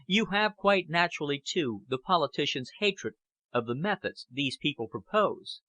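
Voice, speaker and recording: A man plays a measured aristocratic gentleman in an audiobook novel, earnest and careful. His formal speech is clear-edged and bright, with lively intonation. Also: fairly high pitch, no echo, pitched above the performer's own voice. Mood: measured, diplomatic. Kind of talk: audiobook character acting.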